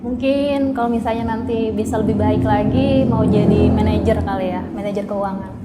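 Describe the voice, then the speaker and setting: A woman's voice: medium pitch, steady tone, normal speed, echoey room, loud music behind the voice. A woman of twenty-four, answering interview questions politely and casually. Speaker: interviewee, worker.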